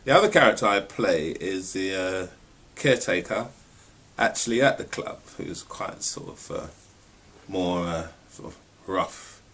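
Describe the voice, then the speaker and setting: Male actor, lower register, hesitant informal explanation of his parts. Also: lively intonation, unhurried, fairly bright tone, breathy edge. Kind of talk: informal spoken introduction.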